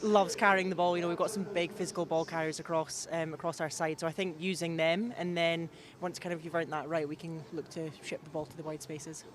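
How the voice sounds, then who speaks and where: A woman rugby player giving steady, measured answers in a pitchside interview. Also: slight background noise. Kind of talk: sports interview. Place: outdoors at a rugby stadium.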